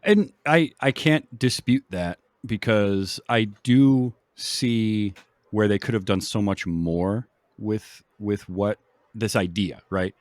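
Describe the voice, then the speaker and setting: A man hosting a casual film discussion in a conversational, measured, agreeable way. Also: on the low side, dry recording, clean studio sound. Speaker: film podcast host.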